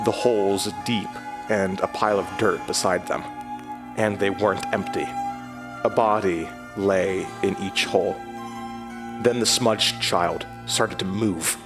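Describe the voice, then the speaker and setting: Slow, low-voiced haunted man narrating. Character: Denver, haunted man recounting his horrifying journey through tunnels. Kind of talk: first-person horror audio drama narration. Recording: music bed behind the voice.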